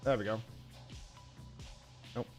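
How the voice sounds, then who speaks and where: A man's voice: takes it slowly, soft background music. A British man hosting a gaming show, giving casual, blunt live reactions and thinking aloud.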